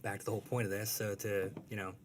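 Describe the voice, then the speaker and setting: A man's voice: fairly low pitch, flat delivery, quick pace, slight background noise. A young adult man, a comic strip creator, talking casually in an interview and telling rambling, self-deprecating stories.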